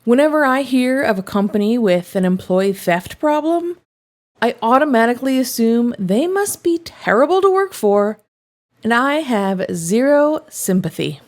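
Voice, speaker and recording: A woman reading first-person confession stories aloud in an expressive, conversational storytelling manner. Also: on the high side, normal speed. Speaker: story reader, host of a show reading online confessions.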